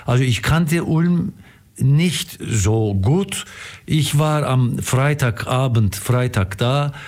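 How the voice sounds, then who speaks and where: A middle-aged or older man from Istanbul with a Turkish accent, telling stories as a radio guest, haltingly and repeating words for emphasis. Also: medium pitch, expressive, a little mellow. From Istanbul, Turkey. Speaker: interview guest, theatre director. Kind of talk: casual storytelling in an interview.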